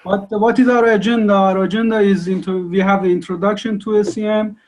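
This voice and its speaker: Lecturer guy on a video call, pretty high voice, lots of uh pauses, english not his first language. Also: steady tone, a little mellow. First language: Persian. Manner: halting non-native English, frequent uh pauses. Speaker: university lecturer. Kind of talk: online lecture. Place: indoor room on video call. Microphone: video call webcam.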